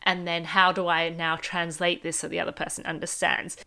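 Australian woman, 30s, smooth clear voice, chatty upbeat solo podcast host. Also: lowish voice, flat delivery, moderate pace, pushed and bright. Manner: chatty, upbeat, speaks straight to the listener. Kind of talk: casual solo podcast monologue.

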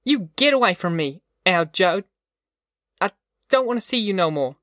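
A reader voicing a sharp, indignant young country girl with rustic dialect in an audiobook story. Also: mid-range voice, expressive, moderate pace, no echo, clean studio sound, voice pushed up, lightly acted. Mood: furious.

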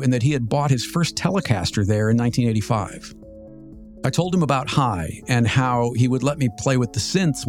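A man in his fifties with an American accent, telling a scripted personal story as a podcast host in a measured, reflective, articulate way. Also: medium pitch, darkish tone. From Southern California, United States. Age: 50s. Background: music.

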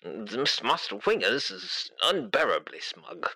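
A man's voice: lowish voice, sing-song, slow, sharp tone, no room sound, heavily acted, rough recording. A man voicing a fussy, indignant robot butler in a roleplaying game, prim in manner. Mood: snooty.

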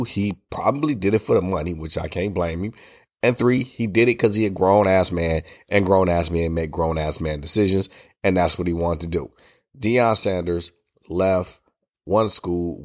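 Deep-voiced American man, congested with a cold. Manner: colloquial, emphatic, repeats phrases for emphasis. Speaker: podcast host. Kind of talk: casual solo podcast talk, opinionated commentary.

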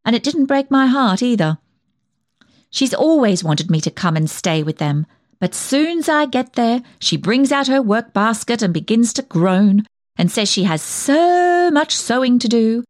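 A self-righteous village dressmaker and town gossip in a comic storytelling monologue. Her pitch swings in a very animated way as she chatters, digresses and hands out tactless opinions. The sound is dry, with no room around the woman's voice. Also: unhurried, no room sound. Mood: opinionated, chatty.

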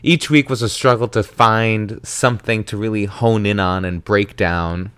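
A man's voice: on the low side, normal speed, clean tone, no echo. Chatty, dramatic thirty-year-old man. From American South. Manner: chatty, animated, self-dramatizing with frequent tangents. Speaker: podcast host. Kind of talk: solo podcast monologue.

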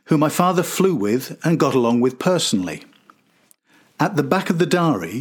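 A man's voice: mid-range voice, slightly rough. A man hosting and narrating a scripted historical documentary. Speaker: podcast host and narrator.

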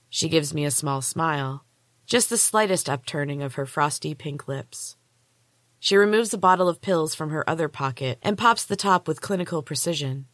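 Woman storyteller, fairly low voice, very dry sound. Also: dry recording. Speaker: podcast host and author. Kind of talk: first-person fiction storytelling, read aloud.

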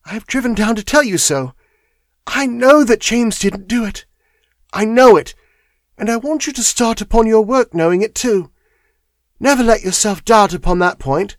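A woman's voice: a little high, lively intonation, normal speed, no room sound, pitched above the performer's own voice, lightly acted. A man voicing an earnest young woman who pleads insistently and with fierce loyalty. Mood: pleading.

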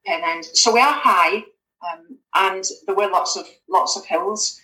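A woman's voice: medium pitch, measured pace, sharp tone, reverberant, rough recording. A woman, a guest on a podcast, chatting casually with lots of fillers and restarts. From West Yorkshire, England. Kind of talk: casual conversational interview.

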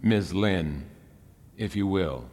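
A man's voice: deep voice, lively intonation, slow, a little mellow, exaggerated voice. A man playing a smug, probing senator in an audio drama, formal, pointed and elaborately polite. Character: smug, probing male senator questioning a witness. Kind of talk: fiction podcast audio drama. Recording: echoey hall with long reverb.

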